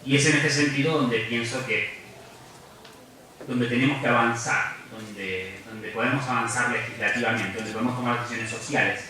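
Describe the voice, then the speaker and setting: Argentine-born male lecturer, bright forceful voice, earnest and reflective, heard off-mic in a very reverberant hall. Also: mid-range voice, steady tone, moderate pace, recorded from a distance, slight background noise. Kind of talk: public lecture. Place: large university lecture hall.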